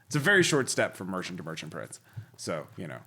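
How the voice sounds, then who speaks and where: Male host, very lively intonation, wry and jokey, casual geeky discussion. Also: deep voice, quick pace, crowd noise. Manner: wry, jokey, profane asides. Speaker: podcast host. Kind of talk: casual chat reviewing old gaming magazines.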